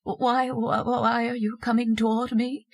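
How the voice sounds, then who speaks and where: An actress playing a frightened, easily swayed wife turned desperate murderess in a radio horror drama, hesitant and pleading. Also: female voice, a little high, flat delivery, normal speed, gravelly. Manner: hesitant, repeating words, pleading. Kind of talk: old-time radio horror acting.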